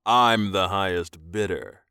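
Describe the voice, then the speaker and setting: A man's voice: sits low, sharp tone, clear, resonant tone, dry recording, clean studio sound, full character voice. A man voicing a smug, domineering alien male with a growling, commanding delivery in an audiobook story. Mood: commanding, possessive. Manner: commanding, amused, deliberate.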